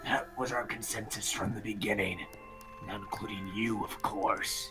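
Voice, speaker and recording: A man playing a cool, rational student with a measured, detached delivery in an anime game fandub. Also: fairly low pitch, steady tone, lightly acted. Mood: cool, hushed. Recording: music playing behind.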